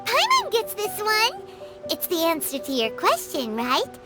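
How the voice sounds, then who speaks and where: Squeaky, excitable little fairy girl. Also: a little slow, heavily acted. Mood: excited, eager. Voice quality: squeaky, childlike. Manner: exclamatory, refers to self in third person. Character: small excitable childlike female fairy companion, eager and cheeky. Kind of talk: video game voice acting. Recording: music playing behind the voice.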